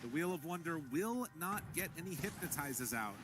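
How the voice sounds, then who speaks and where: A man with a slightly high voice commentates a competitive video game live as the play-by-play caller. There is clear background noise behind his voice. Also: animated, normal speed.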